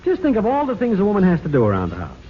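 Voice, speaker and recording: An actor playing a pushy, pompous, cajoling neighbour in a 1940s radio comedy. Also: male voice, sits high, wildly swooping pitch, racing. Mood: earnest, pompous. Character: pushy, blustering married neighbour man, self-righteous and comic. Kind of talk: 1940s radio sitcom acting. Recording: muffled, hissy old radio recording.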